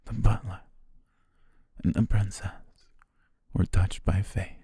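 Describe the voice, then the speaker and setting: A man voicing a dying young butler, loyal and tender, who speaks in hushed, halting words broken by weak breathy laughs as his strength fades, recorded on a close mic for an ASMR roleplay. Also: sits low, flat delivery, no echo, full character voice. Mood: tender farewell. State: fading, short of strength. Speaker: voice actor.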